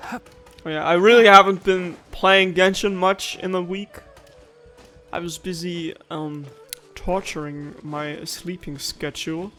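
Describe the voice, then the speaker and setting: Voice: mid-range voice, a bit bright. A gaming streamer giving casual let's play commentary, playful and rambling, often muttering to themselves. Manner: playful, rambling, talks to self. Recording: voice over game music.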